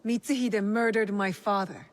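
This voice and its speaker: A woman performs a young shinobi set on vengeance in a game drama. Her medium-pitched voice is serious and clipped, with steady resolve. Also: moderate pace, no echo, close to an everyday voice. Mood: resolute. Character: determined young female shinobi, grieving and vengeful. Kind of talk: dramatic video game acting.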